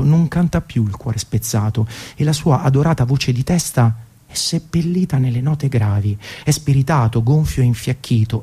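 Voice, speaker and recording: Mellow, husky man narrating for radio. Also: medium pitch. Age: 30s. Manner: measured, poetic delivery. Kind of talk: scripted, literary radio narration. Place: radio broadcast.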